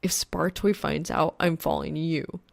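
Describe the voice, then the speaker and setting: A woman voicing an exasperated, sweary young man who mutters and wheezes in a deadpan way. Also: deep voice, moderate pace, vocal fry, dry recording.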